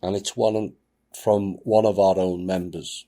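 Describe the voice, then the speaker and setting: A man hosting his channel, reading a submitted account aloud with a grave, measured delivery. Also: low voice, flat delivery, mellow. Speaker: channel host.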